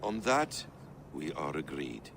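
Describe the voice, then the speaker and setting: Master assassin mentor, video game. Deep, measured, matter-of-fact, with expressive pitch movement. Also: male voice, vocal fry, a little hiss or hum, exaggerated voice. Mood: assured, measured. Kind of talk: dramatic video game acting.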